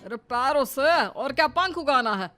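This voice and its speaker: A woman's voice: high voice, expressive, unhurried, bright, forceful tone, faint music behind, full character voice. A woman voicing a bossy middle-aged father-in-law in a cartoon story, with a commanding, teasing manner. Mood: bossy, dismissive. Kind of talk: cartoon moral-story acting.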